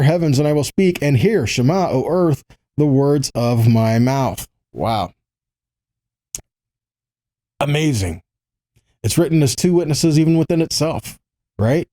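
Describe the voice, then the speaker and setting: A man giving a Bible teaching, reading quotations and commenting on them, pausing to repeat key lines for emphasis. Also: a little high, mellow.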